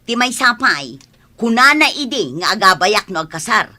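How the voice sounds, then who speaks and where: A woman's voice: medium pitch, sing-song, normal speed, pushed and bright. A woman with an Ilocano Filipino accent playing a resentful, gossipy neighbour in a radio drama, complaining sharply.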